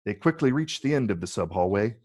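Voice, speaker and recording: A man reading stage directions as scripted narration in a measured, descriptive way. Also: lowish voice, expressive, darkish tone, husky. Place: virtual read, recorded remotely.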